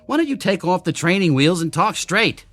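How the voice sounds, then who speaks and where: A man's voice: high-pitched, big pitch swings, moderate pace, a bit bright, no room sound, soft background music. An actor playing a distraught, incredulous man who learns he is an android, in a radio drama style. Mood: angry disbelief. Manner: agitated, pleading, incredulous.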